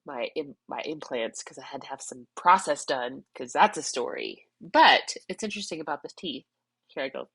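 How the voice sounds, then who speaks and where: A middle-aged woman speaks in a lowish voice, rambling and searching as she reflects aloud. She sounds congested from crying and keeps circling back to her point. The sound is dry and close, with no room echo. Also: moderate pace, a bit bright, husky. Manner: rambling, tearful, self-reflective. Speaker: vlogger. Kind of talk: emotional personal vlog, external processing.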